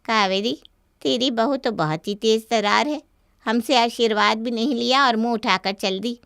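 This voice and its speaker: A woman's voice: fairly high pitch, moderate pace, vocal fry, a bit bright, smooth, dry recording, clean studio sound. A woman voicing a gossipy, easily offended neighbour in a cartoon story, speaking pointedly. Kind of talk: Hindi cartoon story dialogue.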